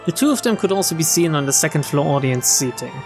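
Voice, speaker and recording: A man's voice: a little high, expressive, fast talker, over music. A man streaming a game, reading story text aloud and adding casual comments. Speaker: streamer and narrator.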